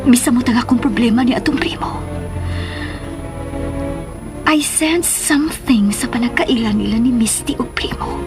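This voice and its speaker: A woman with a Filipino accent playing a middle-aged, troubled and protective mother in a radio drama, with breathy, tearful delivery. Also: a little high, normal speed, pauses often, close to an everyday voice. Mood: worried, pleading. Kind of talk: Philippine radio drama acting. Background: dramatic background music.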